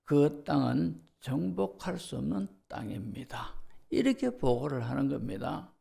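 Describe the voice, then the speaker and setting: A male pastor with a gravelly voice and a Gyeongsang Korean accent, preaching in a didactic way and putting rhetorical questions to his listeners. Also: fairly high pitch, big pitch swings, measured pace, warm tone. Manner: didactic, enumerating, checks in with listeners. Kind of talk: Sunday sermon, expository with rhetorical questions.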